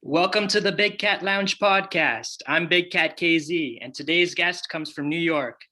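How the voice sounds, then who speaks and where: A man's voice: fairly high pitch, unhurried, loud music behind the voice. A man hosting a casual interview podcast, friendly, stumbling a little with fillers as he asks questions. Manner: friendly, with many fillers and restarts when asking. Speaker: podcast host.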